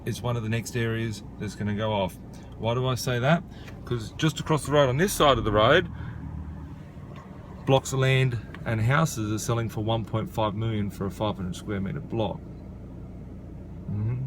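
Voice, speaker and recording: A man's voice: on the low side, steady tone, normal speed, long pauses. A man in his thirties from Australia, a property investor talking casually to camera outdoors, with many "uh" and "you know" fillers. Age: 30s. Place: outdoors beside a rural property. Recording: outdoor noise with traffic.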